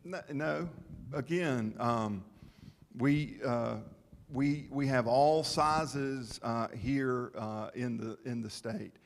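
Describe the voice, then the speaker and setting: A man presenting at a town information session, explaining to the public in a halting, plain-spoken way. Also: medium pitch, creaky, a little hiss or hum. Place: town meeting hall. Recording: long reverb of a meeting hall.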